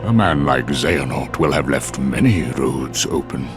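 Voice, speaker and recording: Very deep, solemn old sorcerer. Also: male voice, expressive. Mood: grave, foreboding. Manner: solemn, measured, formal. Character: grave, wise old male sorcerer master. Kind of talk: video game cutscene acting. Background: background music.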